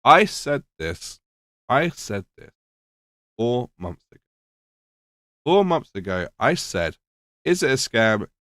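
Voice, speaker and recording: A man's voice: mid-range voice, big pitch swings, slow pace, pauses often, a bit bright, no room sound, clean studio sound. A man reacting to a video in casual commentary, slightly nasal and losing his voice, sarcastic and swearing. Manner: sarcastic, swears, mock-questioning asides.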